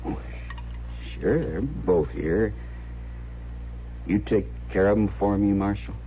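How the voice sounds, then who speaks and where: A troubled, simple young frontier man, low and slow. He speaks haltingly and earnestly in a rustic way, as in a 1950s radio western. The recording is narrow and old, with faint noise. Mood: earnest, trusting.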